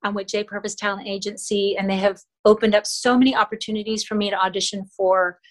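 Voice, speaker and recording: A woman's voice: medium pitch, level tone, fast talker. Earnest Filipino woman, middle-aged. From Philippines. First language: Tagalog. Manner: earnest, with frequent fillers and soft laughs. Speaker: actress, interview guest. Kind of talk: casual interview talk.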